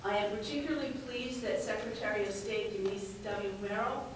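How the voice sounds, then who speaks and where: Woman, formal ceremonial welcome, measured delivery, heavy room echo, distant microphone. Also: medium pitch. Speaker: chief judge giving ceremony welcome. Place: large echoey courtroom. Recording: very echoey, distant mic, faint noise.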